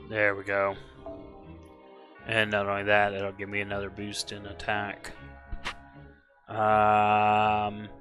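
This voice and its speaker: Streamer, male, low voice with a bright edge, slightly hoarse, chatty and self-correcting, faint music underneath. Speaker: game streamer. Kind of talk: casual live-stream commentary.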